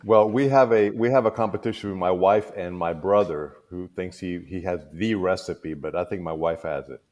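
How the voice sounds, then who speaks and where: A man in his sixties, originally from Cuba, speaks in a very low voice. He introduces himself as a school board candidate at an easy pace, with frequent pauses and fillers. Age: 60s. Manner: relaxed, halting with many fillers. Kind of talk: casual panel chat.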